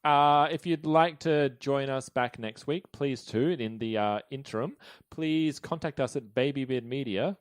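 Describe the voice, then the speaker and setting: A man's voice: medium pitch, moderate pace, smooth, no room sound, clean studio sound. Man host steering the podcast segments. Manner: steers the show, hesitant fillers. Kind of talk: casual chat.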